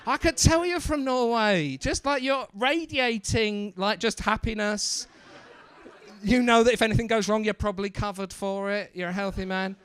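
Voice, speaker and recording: A man doing stand-up, teasing audience members in quick, joking crowd work. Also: high-pitched, a bit bright, clear, resonant tone. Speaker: stand-up comedian.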